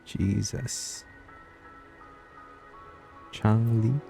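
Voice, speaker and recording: Male gaming streamer: casual, blunt reactions in a flat, slow delivery, music behind. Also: lowish voice, gravelly.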